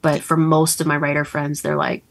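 A low-pitched woman in her thirties from Northern Kentucky, talking as a podcast guest. She is chatty and animated, with frequent ums and likes, and laughs as she talks. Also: normal speed. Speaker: romance author, guest. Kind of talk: casual podcast interview.

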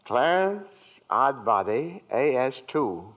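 A guileless apprentice guardian angel in an old radio play. He speaks slowly and earnestly, with quaint hesitations and a widely swinging melody. The sound is narrow and tinny, like a 1940s broadcast. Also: mid-range voice, big pitch swings. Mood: cheerful, guileless. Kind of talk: 1940s radio drama acting.

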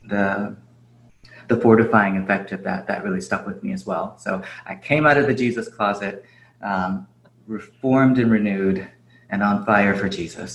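A man's voice: fairly low pitch, a little hiss or hum. A man, a podcast guest, talking casually and reflectively with many fillers and easy laughs; he grew up in Japan. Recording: roomy echo.

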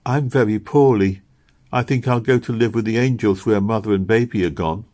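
A low-voiced man doing a small gentle boy, pitched up above his own voice, soft and trusting in a storybook reading. The words come simple and unhurried. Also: natural voice. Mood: weary.

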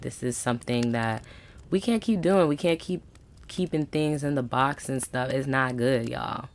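A woman in her twenties hosting a solo podcast, talking in a casual, slangy, upbeat way with emphatic asides. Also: a bass voice. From United States. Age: 20s. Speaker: podcast host.

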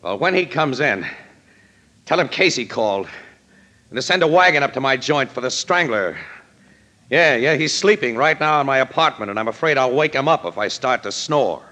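An actor playing an easygoing, slangy American crime photographer with a wry, breezy manner in an old radio drama. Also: male voice, medium pitch, lively intonation, moderate pace, bright, forceful tone. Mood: brisk, triumphant.

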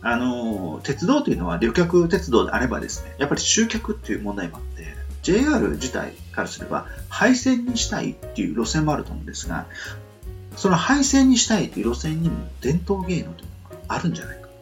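A man's voice: mid-range voice, huge pitch swings, quick pace, soft background music. A man hosting a casual solo talk radio show, politely explaining his thoughts in a hesitant, meandering way as he thinks aloud.

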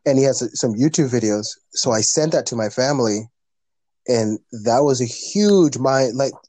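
A man's voice: mid-range voice, lively intonation, moderate pace, dark tone, no echo. A man, talking earnestly as a podcast guest in a rambling, casual way with many fillers. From Canada. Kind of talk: casual conversation.

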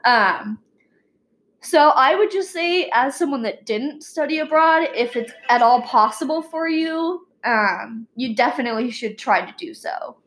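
A young woman and college student co-hosting a casual podcast, talking relaxed with many fillers. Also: sits high, measured pace, rough recording. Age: young adult. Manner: relaxed, fills with "um" and "like". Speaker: student co-host.

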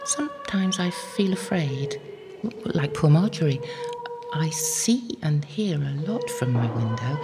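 An older woman playing an elderly, devout medieval anchoress in an audio drama, gentle and humble, praying in a hushed, earnest, reflective way. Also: deep voice, vocal fry, heavily acted. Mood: anxious, then assured. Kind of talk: audio drama acting, intimate prayer.

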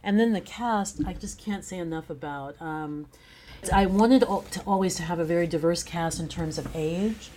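A woman's voice: fairly low pitch, normal speed, warm tone, slight background noise. A woman being interviewed as a guest playwright, talking conversationally with effusive, emphatic praise.

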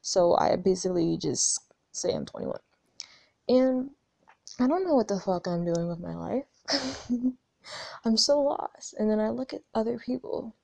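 Gravelly-voiced young woman musing aloud. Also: mid-range voice, lively intonation, unhurried, long pauses, mellow. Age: 20s. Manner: rambling, candid, swears freely, frequent restarts. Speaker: spiritual vlogger. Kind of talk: casual reflective monologue.

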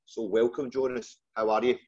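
A Scottish man with a Glasgow accent chats as an interview host. He is informal and matey, with a husky edge to his mid-pitched voice. The sound is a little dull and lo-fi. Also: flat delivery, moderate pace, a little mellow. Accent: Glaswegian Scottish. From Scotland, just outside Glasgow. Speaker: podcast host. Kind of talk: casual interview chat. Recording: dull, lo-fi call audio.

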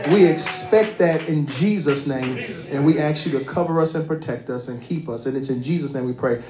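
A man's voice: fairly high pitch, moderate pace, music in the background. A man, a pastor, welcoming guests and leading a closing prayer in a folksy, emphatic way, laughing often. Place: church sanctuary. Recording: echoey church room.

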